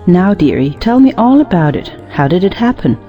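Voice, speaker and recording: A woman's voice: fairly low pitch, very animated, moderate pace, dull audio, faint music behind. A woman acting an affectedly sweet, knowing married society woman of forty in a stage comedy audio play. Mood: knowing, conspiratorial.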